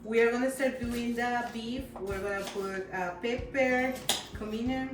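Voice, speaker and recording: A woman's voice: on the high side, steady tone, normal speed. A woman from Peru with a Peruvian Spanish accent, casually talking through her cooking as a native Spanish speaker. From Peru, mountain region. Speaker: home cook showing a dish. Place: indoor kitchen with chopping and pots. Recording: echoey kitchen, distant mic, loud music underneath.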